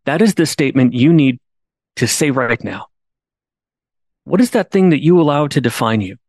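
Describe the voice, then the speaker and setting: A man's voice: medium pitch, expressive, moderate pace, a little mellow, no echo. Guy telling a story on his podcast, earnest, mid voice, bit of repeating words, dry mic. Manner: earnest, repeats words when restarting, rises to emphatic pleas. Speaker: podcast host. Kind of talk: storytelling monologue.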